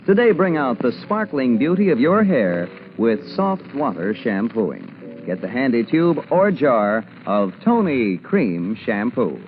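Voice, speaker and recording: A man's voice: medium pitch, very animated, a little slow. An American man working as a radio announcer, reading scripted sponsor copy and credits in 1940s broadcast style. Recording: muffled vintage 1940s radio broadcast, music.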